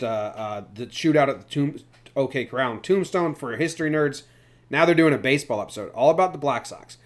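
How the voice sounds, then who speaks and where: A man hosting a sports podcast in a casual, chatty style. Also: mid-range voice. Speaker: podcast host.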